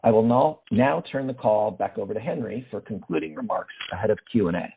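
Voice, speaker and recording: A man, a finance executive, reading a formal financial report in a steady manner over a phone line. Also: lowish voice, a little fast, gravelly, slight background noise.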